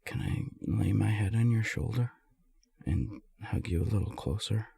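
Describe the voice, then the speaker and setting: A man playing a lovestruck, self-deprecating non-binary friend in an intimate romantic roleplay audio, speaking softly and haltingly in a breathy, husky voice. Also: lowish voice, no room sound, full character voice. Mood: shy, happy. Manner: hesitant, halting, soft-spoken.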